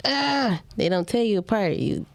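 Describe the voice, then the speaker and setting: A woman's voice: medium pitch, animated, normal speed, vocal fry, no room sound. A woman telling stories in casual podcast chat, chatty and acting out what people said. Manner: chatty storyteller, acts out quotes. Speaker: podcast guest.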